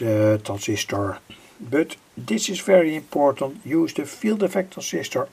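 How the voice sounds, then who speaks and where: A middle-aged man with a gravelly, husky voice giving an informal, halting technical explanation as a hobbyist presenter. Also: mid-range voice. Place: indoor home electronics workbench.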